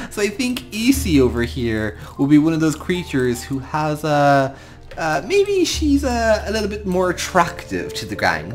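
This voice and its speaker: An Irish gaming host giving improvised storytelling commentary over a game, talking affectionately to the creatures. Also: mid-range voice. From Ireland.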